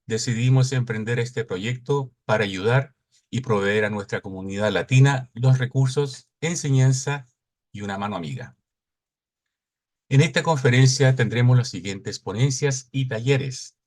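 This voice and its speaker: A middle-aged man from Chile, giving a formal welcome speech and reading out a conference agenda in a measured, announcing way. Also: mid-range voice, a little mellow. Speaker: conference cofounder and opening speaker.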